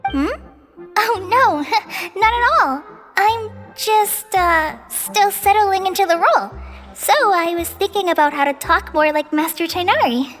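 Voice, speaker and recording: A woman's voice: very high voice, a little slow, fairly bright tone. A woman voicing a shy, earnest young female forest ranger who speaks hesitantly and politely in a game. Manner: hesitant, polite, slightly nervous. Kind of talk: video game voice acting. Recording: game dialogue over music.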